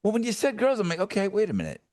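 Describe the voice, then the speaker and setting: A man's voice: high voice, wildly swooping pitch, rapid-fire, darkish tone. A man co-hosting a podcast, teasing his partner with joking banter. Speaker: podcast co-host. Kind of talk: casual podcast chat.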